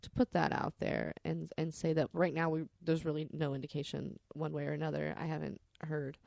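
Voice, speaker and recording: Low, creaky-voiced woman telling candid stories. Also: level tone, brisk, warm tone, no room sound, studio quality. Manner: candid, salty-tongued. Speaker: podcast storyteller. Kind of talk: candid, rambling personal storytelling.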